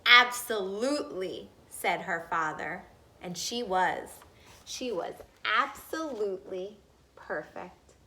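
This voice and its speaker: A woman reading a picture book aloud to children in an animated way, pausing to ask her young listeners simple questions. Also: mid-range voice, a little hiss or hum.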